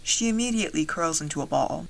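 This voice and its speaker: A woman playing a wry dragon-riding soldier, narrating a fantasy audio drama in the first person in a measured storytelling way. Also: sits low, lively intonation, creaky, heavily acted, low-quality recording. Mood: composed, watchful.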